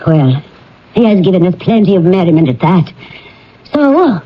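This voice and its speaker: An actress playing a genteel young wife in a radio drama, disapproving yet teasing. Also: female voice, lowish voice, big pitch swings, normal speed, a little hiss or hum. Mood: wry, disapproving. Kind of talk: old-time radio drama acting. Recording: muffled vintage radio broadcast.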